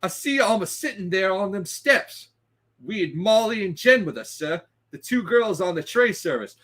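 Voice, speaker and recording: A man's voice: high-pitched, sing-song, normal speed, fairly bright tone, exaggerated voice. A man voicing a young, deferential but scrappy Cockney bellboy who talks in ungrammatical, indignant bursts in a read-aloud story. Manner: ungrammatical, peppered with respectful address.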